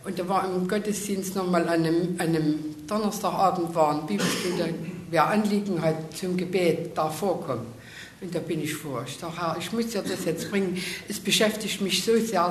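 A woman sharing an earnest, emotional personal testimony before a congregation. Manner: earnest, emotional, faith-filled storytelling. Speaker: church member giving testimony. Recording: echoey room with faint rumble.

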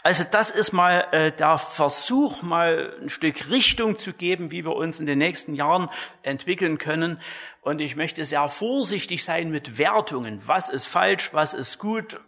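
Higher-voiced man with a creaky edge, teaching. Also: sharp tone. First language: German. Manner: deliberate, didactic, often adding "ja" as a tag. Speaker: church teacher giving a talk to his congregation. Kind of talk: explanatory church lecture on Bible translation.

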